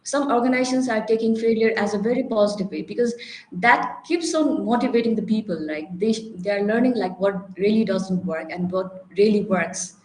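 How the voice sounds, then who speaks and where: A woman with a Nepali accent speaks unscripted on a panel in a medium-pitched voice. She circles back to rephrase her points and often tags them with a check for agreement. The sound is clearly echoey. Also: normal speed, darkish tone. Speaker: panelist, HR professional and career counselor.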